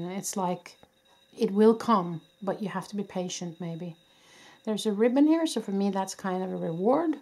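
A woman reads tarot cards at a candlelit table indoors, her voice worn from a cold. She speaks gently and reassuringly, with many fillers and small restarts. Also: medium pitch. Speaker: tarot reader. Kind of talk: casual pick-a-card tarot reading. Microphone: camera above a table, hands only.

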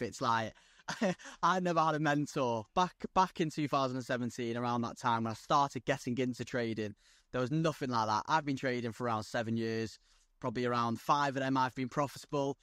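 A young man from North Wales talks on a video call from outdoors, in a middle-pitched voice. He speaks bluntly and chattily, and the sound is dry and close. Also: a little fast, fairly bright tone, no room sound. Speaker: guest, day trader. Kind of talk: casual video-call interview. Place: outdoors on a lawn by the coast.

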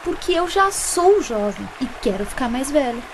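A woman's voice: sits high, sing-song, moderate pace, background noise. A woman with a Brazilian accent playing an earnest, insecure young woman in love in a radio play. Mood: defiant.

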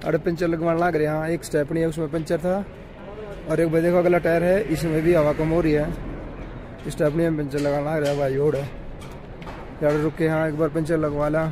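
A man in his thirties chatting casually to viewers as he vlogs a road trip, filming on a handheld phone outdoors and from a car. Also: a little high. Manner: chatty, keeps calling viewers "brother". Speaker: travel vlogger. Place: outdoors on mountain roads and in a car. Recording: noisy outdoor phone recording.

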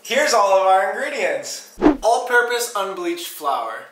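A young man co-hosting a home cooking tutorial, cracking silly deadpan jokes as he explains the steps. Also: high-pitched, unhurried, far from the mic, heavy background noise. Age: young adult. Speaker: cooking show co-host. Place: small home kitchen, indoors. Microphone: camera mic from a distance. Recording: echoey kitchen from off-mic.

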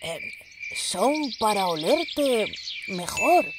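A woman's voice: mid-range voice, sing-song, drawn-out words, background noise. A woman voicing a hungry, lonely female dragon, coaxing and theatrical, over children's music. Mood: flustered.

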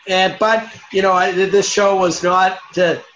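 A middle-aged man with a slightly raised pitch speaks earnestly over a video call, stumbling and restarting his sentences. The sound is dull and lo-fi, as from a laptop mic. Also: level tone, fairly bright tone, a little hiss or hum. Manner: halting, repeats himself, earnest. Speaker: bourbon brand co-owner. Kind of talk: casual video-call interview.

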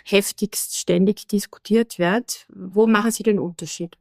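Woman, podcast host, long rephrased questions, mid pitch, dry close sound. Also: steady tone, unhurried, gravelly, no room sound. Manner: asks long, winding questions with restarts. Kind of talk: podcast interview.

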